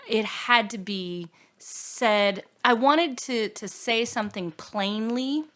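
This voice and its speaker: A woman speaking as a singer-songwriter guest in a reflective interview, earnest and halting, often trailing off or quoting her own thoughts. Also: on the high side, a bit bright. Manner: earnest, halting, with self-quotes and trailing phrases.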